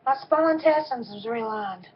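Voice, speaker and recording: A woman in her fifties with a soft voice, giving a personal testimony in a halting way with frequent pauses and ums. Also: on the high side, animated, slight background noise. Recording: dull, lo-fi recording.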